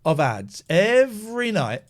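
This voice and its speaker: Male late-night radio host, lively swooping delivery, rather high for a man, chatty. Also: slow pace. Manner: animated, chatty, swearing.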